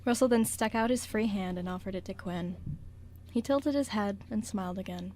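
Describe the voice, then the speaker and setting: A woman reading scripted radio drama narration in a solemn, measured storytelling manner. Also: mid-range voice, darkish tone, slight background noise.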